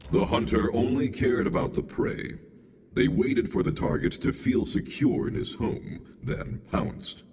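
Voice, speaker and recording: A man's voice: medium pitch, steady tone, a little slow, a little mellow, echoey room. A man voicing a solemn, formal robot hunter in a video game, with robotic processing on the voice. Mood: solemn, matter-of-fact. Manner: formal, clipped, literal. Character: stilted, solemn robot beast-master hunter.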